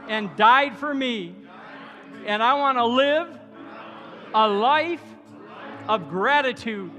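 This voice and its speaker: A man of seventy preaching as a pastor, exhorting the congregation in repeated declarations of thanks and praise. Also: sits high. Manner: exhorting, repetitive declarations, breaking into shouted praise. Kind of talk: sermon and altar call. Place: large church hall. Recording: hall reverb with music behind.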